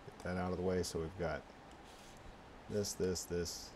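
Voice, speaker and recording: A man with a low-pitched voice talks through a screen tutorial. He is casual and unscripted, often halting to mutter his way through the next step. Some background noise sits under his voice. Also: little pitch movement, a little mellow. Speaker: tutorial presenter. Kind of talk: casual screencast walkthrough, thinking aloud.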